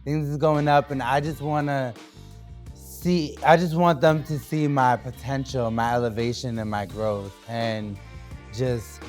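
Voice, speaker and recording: A man's voice: mid-range voice, fairly even intonation, unhurried, soft background music. A young man from New Hampshire talking loosely as a guest on a podcast, with lots of fillers and restarts. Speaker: guest artist. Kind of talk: casual podcast interview.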